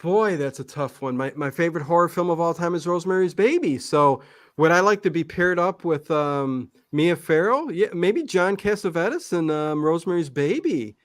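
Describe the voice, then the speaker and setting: A man's voice: a little high, animated, normal speed. A man chatting casually as an interview guest, rambling and often hesitating and correcting himself. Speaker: guest, online old-time radio channel host.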